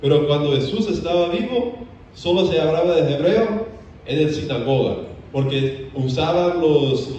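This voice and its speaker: A man from Belize, a theology student teaching a church seminar, often pausing on "eh". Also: fairly high pitch, warm tone, a little hiss or hum. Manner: frequent "eh" hesitations. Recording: echoey church from a distant, muffled mic.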